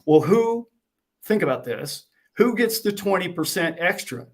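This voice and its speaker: A man's voice: high voice, a little slow. A man explaining to a host with animation, acting out little exchanges as he goes. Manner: animated, acts out dialogue. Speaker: guest.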